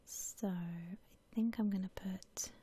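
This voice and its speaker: A woman gives whispered ASMR game commentary in a very breathy, soft voice. She takes her time, gently musing to herself as she goes. The tone is mellow and relaxed. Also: medium pitch, takes it slowly. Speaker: gaming ASMR host.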